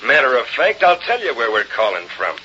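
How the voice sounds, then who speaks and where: An actor playing a gruff, cocky American gang boss giving slangy, clipped orders in an old radio crime drama. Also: male voice, medium pitch, big pitch swings, brisk, bright, forceful tone. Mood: commanding, menacing. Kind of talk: 1930s-40s radio crime drama acting. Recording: dull, noisy old radio recording.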